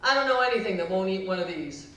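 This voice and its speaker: Feisty American grandmother, late seventies, played by a woman storyteller: bright, commanding, brisk, very echoey room. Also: medium pitch, animated. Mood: assured. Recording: echoey hall, distant mic, faint noise.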